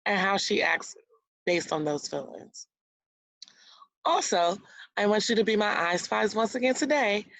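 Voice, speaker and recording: A woman's voice: medium pitch, no room sound, low-quality recording. A woman teacher reading a storybook aloud to young children, explaining words and asking guiding questions as she goes. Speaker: elementary school teacher.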